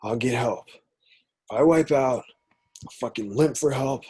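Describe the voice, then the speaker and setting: Guy reading young college dude in a horror movie, husky, cocky n fired up, table read. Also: mid-range voice, a little slow, darkish tone. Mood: determined bravado. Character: hot-headed, brave young college man in horror film. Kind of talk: amateur screenplay table read.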